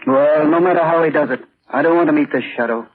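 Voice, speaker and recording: Henchman: uneasy gunman, husky voice, blunt delivery, tinny old radio sound. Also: fairly high pitch, quick pace. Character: wary male henchman, plain-spoken gunman. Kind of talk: 1930s-40s radio thriller acting. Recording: tinny vintage radio recording.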